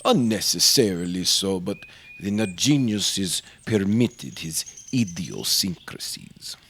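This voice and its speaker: A man playing a secretive, haughty older scientist in an old-time radio drama, speaking formally and haltingly with theatrical intensity. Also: deep voice, very animated, a bit bright, slight background noise, full character voice. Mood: guarded, formal.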